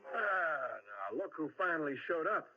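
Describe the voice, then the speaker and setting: An actor playing a cynical, teasing police lieutenant in a retro radio mystery. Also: male voice, a little high, big pitch swings, normal speed, faint music behind. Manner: teasing, worldly. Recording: muffled old radio drama recording.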